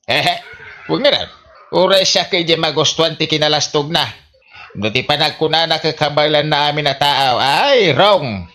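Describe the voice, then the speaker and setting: A man's voice: fairly high pitch, normal speed, sharp tone. A man with an Ilocano Filipino accent playing a disapproving, scheming older villager in a comedy radio drama.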